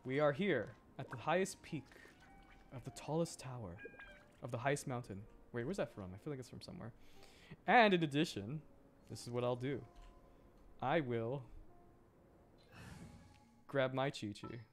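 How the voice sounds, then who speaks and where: A man's voice: mid-range voice, animated, normal speed, long pauses. A young man, chatting casually and playfully in a rambling way as he livestreams a game. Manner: playful, rambling, laughs often. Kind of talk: casual gaming livestream chat.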